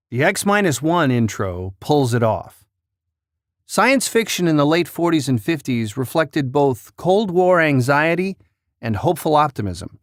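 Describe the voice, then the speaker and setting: A man's voice: on the high side, dry recording. Man narrating, close dry sound. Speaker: host of a countdown list. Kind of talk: scripted narration.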